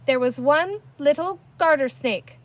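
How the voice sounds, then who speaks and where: A woman voicing a cheerful, kind but bossy young girl in a storybook, speaking firmly and briskly. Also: sits high, voice pushed up. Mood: commanding. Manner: firm, brisk, emphatic.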